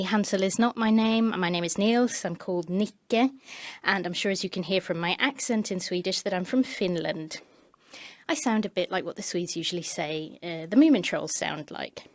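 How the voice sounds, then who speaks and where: Woman interpreting a speech live, smooth and steady, lower voice. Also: no echo. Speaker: parliamentary interpreter. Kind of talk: simultaneous interpretation of a committee speech.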